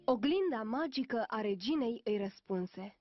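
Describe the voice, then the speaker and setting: High-voiced woman narrating a fairy tale. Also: lively intonation, no echo. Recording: muffled old cartoon soundtrack, music behind.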